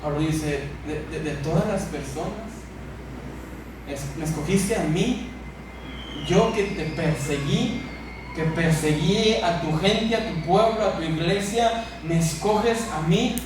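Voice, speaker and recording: A man with a Mexican accent preaching a sermon as a pastor, speaking emphatically with repeated phrases and pauses for effect. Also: fairly high pitch. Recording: echoey church hall, distant mic, noisy.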